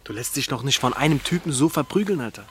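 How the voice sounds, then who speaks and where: An actor playing a brash young streetwise friend in a radio drama, slangy and needling. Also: male voice, mid-range voice, expressive, fast talker, a little hiss or hum, close to an everyday voice. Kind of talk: German radio drama acting.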